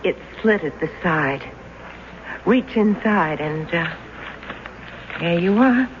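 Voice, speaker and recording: An actress playing a wry, affectionate, unhurried wife in a radio drama, dry and deliberate. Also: female voice, fairly low pitch, animated. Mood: calm, amused. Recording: dull noisy vintage radio drama recording.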